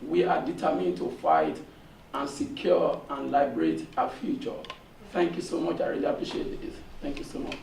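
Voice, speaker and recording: A hoarse man from Nigeria asks a long, earnest question from the audience, halting with many fillers. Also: fairly high pitch, very animated. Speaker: audience member asking a question. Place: seminar room. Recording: echoey room, distant, faint noise.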